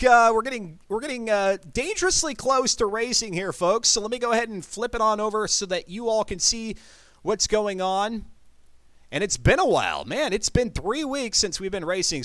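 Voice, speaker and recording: A man's voice: on the high side, a bit bright, no echo. A man hosting a racing live stream from his computer, chatting casually, thanking viewers and rambling through asides. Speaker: streamer and race host. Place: home setup at a computer.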